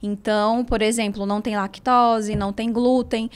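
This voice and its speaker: A woman guest physiotherapist with a Northeastern Brazilian accent who explains things in a measured way and often ends sentences with tag questions. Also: fairly high pitch, little pitch movement, a little hiss or hum. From Brazil, Ceará. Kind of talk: interview answers.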